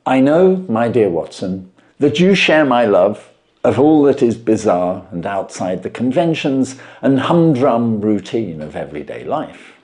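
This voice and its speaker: A man voicing a self-assured gentleman detective in an audiobook, formal, courteous and emphatically articulate. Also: mid-range voice, very animated, a little slow. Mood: animated, lecturing. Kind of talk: audiobook character reading.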